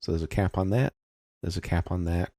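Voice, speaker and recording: A man narrating an informal, step-by-step software tutorial, explaining things methodically and without a script. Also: low voice, fairly quick, no echo, clean studio sound.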